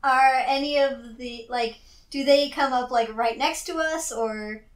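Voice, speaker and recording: A woman playing a tabletop game, chatting casually and halting to rephrase mid-thought. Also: sits high, noisy background. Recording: roomy echo.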